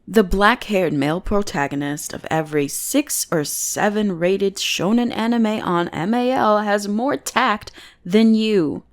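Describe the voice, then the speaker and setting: Woman acting a creaky, teasing goth bully girl. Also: medium pitch. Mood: exasperated. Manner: mocking, drawling, intimate teasing. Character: teasing goth bully girl, secretly smitten, bossy and exasperated. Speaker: voice actor in audio roleplay. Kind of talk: ASMR roleplay acting.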